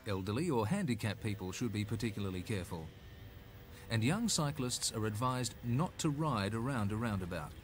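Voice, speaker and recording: A man's voice: lowish voice, expressive, a little fast, a little hiss or hum. A man with an Australian accent narrating a scripted 1980s road safety film in an instructive, slightly folksy way.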